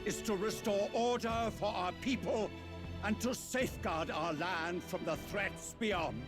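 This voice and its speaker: An actor playing a solemn, pious old high priest, giving stately, measured oratory in a fantasy game. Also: male voice, music in the background. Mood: solemn exhortation. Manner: measured, exhorting.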